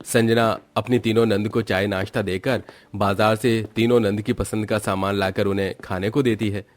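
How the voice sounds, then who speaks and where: A man's voice: lowish voice, steady tone, normal speed, no echo. A man narrating a scripted cartoon moral story as its storyteller.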